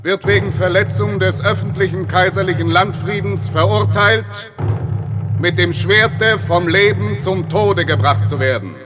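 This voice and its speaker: High, stern imperial prosecutor. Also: male voice, a little slow. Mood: stern, proclaiming. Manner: loud, declamatory. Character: stern imperial prosecutor proclaiming a death sentence. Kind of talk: German radio play acting. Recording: long hall reverb under loud music.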